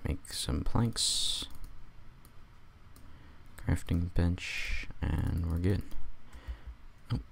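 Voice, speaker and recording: A man just out of bed with a raspy voice, rambling through a casual let's-play commentary with wry jokes. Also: low-pitched, drawn-out words, long pauses, slight background noise. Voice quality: raspy, gravelly. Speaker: gamer doing a let's play.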